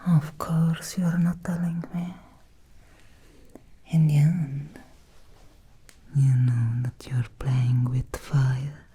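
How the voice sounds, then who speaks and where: A woman voicing an obsessed, possessive Victorian vampire in a soft, deliberate ASMR roleplay whisper. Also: deep voice, flat delivery, slightly rough, exaggerated voice. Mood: possessive, menacing.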